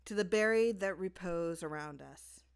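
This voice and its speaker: A woman's voice: lowish voice, moderate pace, smooth, close to an everyday voice. A woman voicing a drunken, stubborn and boastful nobleman in a storybook reading. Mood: tipsy, eager.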